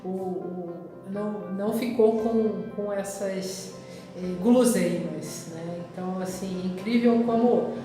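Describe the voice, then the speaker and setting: In a very reverberant recording with music behind, a woman speaks in a Rio de Janeiro Brazilian accent. She takes her time, reflecting casually as a vlogger and often pausing mid-thought. Her pitch sits in an average range for a woman. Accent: Rio de Janeiro Brazilian Portuguese. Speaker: vlogger recounting travel memories. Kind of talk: casual personal storytelling. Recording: very echoey, reverberant room with music.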